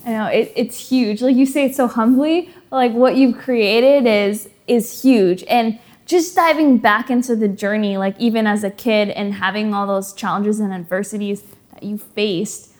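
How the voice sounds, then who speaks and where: A woman's voice: mid-range voice, moderate pace, a little mellow. A young woman hosting a podcast, asking effusive questions full of "like" fillers. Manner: effusive, upbeat, frequent "like" fillers. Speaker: podcast host. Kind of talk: interview questions.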